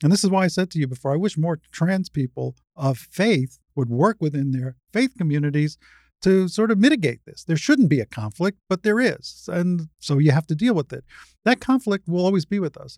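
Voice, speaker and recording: A woman's voice: on the low side, darkish tone, no room sound, clean studio sound. An older woman in a spirited conversation as a podcast guest, explaining points at length in a frank, discursive way. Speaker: podcast guest, retired surgeon and advocate.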